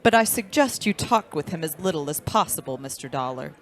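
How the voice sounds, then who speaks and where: A woman's voice: low voice, lively intonation, fairly quick. A woman playing a firm, composed small-town doctor in an old-style radio drama, speaking formally and with measure. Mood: grave, protective.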